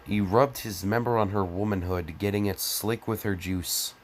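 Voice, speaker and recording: Male comic reader, lowish voice, sarcastic and theatrically exasperated, crude asides. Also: fairly even intonation, normal speed, a little hiss or hum. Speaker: comedic fan fiction reader. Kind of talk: mocking dramatic reading with exasperated ad-libbed commentary.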